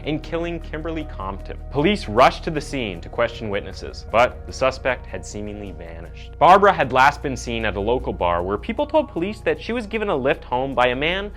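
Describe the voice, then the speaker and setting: Man narrating true crime, lively pitch swings. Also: brisk, hardly any pauses. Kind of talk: scripted documentary narration. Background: background music.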